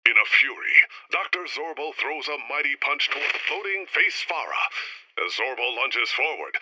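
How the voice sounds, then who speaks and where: An actor playing the dramatic announcer of a retro space-adventure serial, narrating with theatrical urgency. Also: male voice, a little high, noisy background, lightly acted. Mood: urgent, suspenseful.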